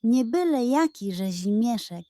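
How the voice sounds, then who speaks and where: A woman narrating a scripted bedtime adventure story for children in a slow, dramatic storytelling manner, recorded close on a studio microphone. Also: fairly high pitch, expressive, warm tone, no room sound.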